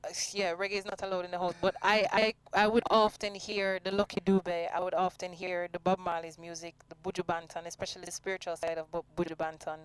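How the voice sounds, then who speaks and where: Smooth, low-voiced Jamaican woman. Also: little pitch movement, fast talker, hardly any pauses, poor audio. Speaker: singer interviewed as guest. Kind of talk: conversational radio interview.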